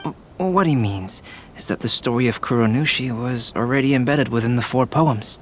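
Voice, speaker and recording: A man playing a gentle, thoughtful young painter in a video game, polite and measured. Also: mid-range voice, slight background noise, lightly acted. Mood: earnest, calm. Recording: clear rain-like ambience behind.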